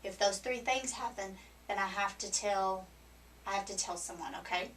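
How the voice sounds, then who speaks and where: A woman counselor with a scratchy, hoarse voice, explaining things gently and patiently to a client in a counseling room. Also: medium pitch, normal speed. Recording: distant mic with faint background noise.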